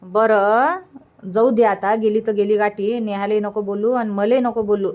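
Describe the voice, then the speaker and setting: A woman's voice: mid-range voice, steady tone, unhurried, natural voice. A woman voicing a resigned, placating old village mother in a cartoon, on dull lo-fi audio. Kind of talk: Marathi cartoon comedy dubbing.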